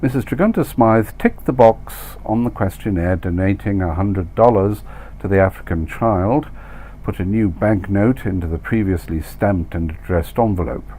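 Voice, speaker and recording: Casting: male author-narrator, lowish pitch, wry and deliberate storytelling with dramatic stresses, slight background noise. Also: dark tone, low-quality recording. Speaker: author reading own story. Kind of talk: scripted literary narration.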